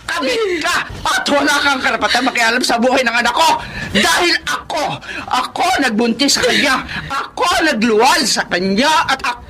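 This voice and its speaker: Haughty, furious wife with wildly swinging delivery. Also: female voice, high voice, expressive, moderate pace. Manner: scornful, confrontational, code-switching into English. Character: haughty, furious wronged wife and domineering mother. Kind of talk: melodramatic radio drama acting.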